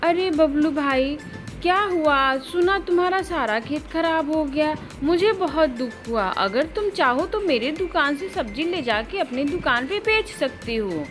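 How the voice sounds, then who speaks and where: A woman's voice: sits high, lively intonation, unhurried, fairly bright tone, soft background music. A woman voicing a scheming, jealous male crow shopkeeper in a sly, smug manner for a cartoon story. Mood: falsely sympathetic. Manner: gloating, slyly false sympathy.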